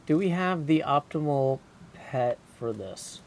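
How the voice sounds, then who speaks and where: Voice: medium pitch, expressive, a little slow, vocal fry. Game streamer thinking aloud over background noise. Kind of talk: casual livestream gameplay commentary, reading game text aloud.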